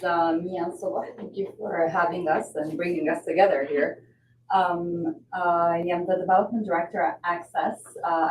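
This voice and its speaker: A woman from Lebanon speaks in a lowish voice and tells her story at a measured pace, with fillers along the way. The sound is roomy and distant, with a faint hiss behind it. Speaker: nonprofit development director guest. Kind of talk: podcast interview.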